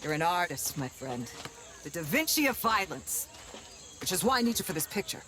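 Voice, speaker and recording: A man's voice: high voice, very animated, bright, forceful tone, exaggerated voice. An actor playing a flamboyant male film director in a game cutscene, theatrical and gushing. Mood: flattering showman. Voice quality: theatrical, gushing, fast-shifting. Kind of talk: video game cutscene voice acting.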